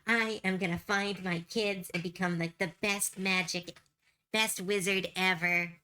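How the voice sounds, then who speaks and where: A woman voicing a determined, grieving old grandpa in a made-up anime pitch, speaking resolutely in close to her own voice. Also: fairly even intonation, a little slow, pushed and bright.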